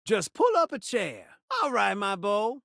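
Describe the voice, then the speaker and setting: A man's voice: sits high, sing-song, unhurried, creaky, fairly bright tone, dry recording, clean studio sound, full character voice. A man voicing a video game talking television, a flamboyant showman who speaks in theatrical rhymes with a self-pitying, dramatic streak. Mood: dejected, self-pitying. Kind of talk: video game character voice acting.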